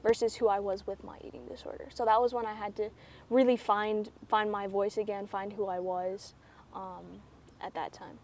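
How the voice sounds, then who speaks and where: A woman's voice: mid-range voice, flat delivery, normal speed, darkish tone. A teenage girl answering interview questions candidly and reflectively, with many "like" fillers. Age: teens. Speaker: student athlete guest. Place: school campus, indoors.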